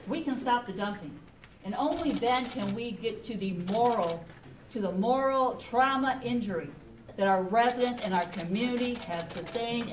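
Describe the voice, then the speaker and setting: A middle-aged woman, a chaplain and activist, giving emphatic, deliberate public testimony at a meeting. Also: mid-range voice. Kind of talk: public testimony, reading a statement and appealing. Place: public library meeting room, indoors. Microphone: camera a few metres away. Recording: echoey meeting room, distant camera, faint noise.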